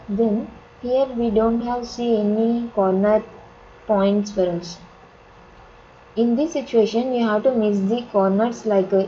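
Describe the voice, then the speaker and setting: A woman software instructor with an Indian accent walks through steps in simple, repetitive phrasing. Her tone is relaxed and mellow. The recording is dull and lo-fi, with faint noise behind her. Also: mid-range voice. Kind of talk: step-by-step software tutorial narration.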